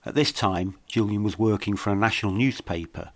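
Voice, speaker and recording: A man reading scripted mystery narration in a measured, dramatic storytelling manner. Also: on the low side, a bit bright, no room sound. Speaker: documentary podcast narrator.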